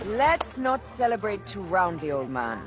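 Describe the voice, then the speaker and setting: A woman's voice: medium pitch, very animated, a little slow. An actress playing a resolute, wary warrior woman in a video game, speaking in a terse, firm, commanding way. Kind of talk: dramatic video game acting.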